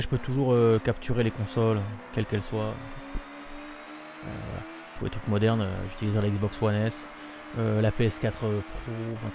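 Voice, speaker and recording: Gravelly young man streaming casually. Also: fairly low pitch. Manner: rambling, lots of fillers. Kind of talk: casual live-stream chat. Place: home room with webcam. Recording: noisy stream with game sound.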